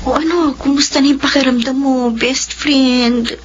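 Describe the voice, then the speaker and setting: A woman's voice: high voice, fairly even intonation, moderate pace, over music, close to an everyday voice. An actress playing a young woman's caring best friend, indignant on her behalf in a radio drama. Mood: concerned. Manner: concerned, indignant. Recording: dull lo-fi recording with faint noise.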